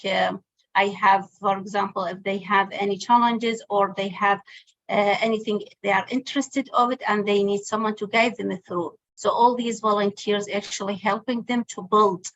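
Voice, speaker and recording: A woman whose first language is Arabic speaks on a discussion panel. Her English is halting, with frequent pauses and fillers as she looks for words. She sounds like she is in her forties. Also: medium pitch, fairly bright tone, highs cut off. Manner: halting non-native phrasing, many fillers. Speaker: panelist, family support worker.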